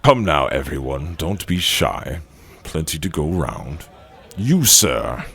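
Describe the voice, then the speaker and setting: An actor playing a wealthy, jovial and silkily sinister banquet host in an audio drama, with grandiose, savoring delivery. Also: male voice, very animated, slow pace, a bit bright, heavily acted. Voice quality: deep, gravelly.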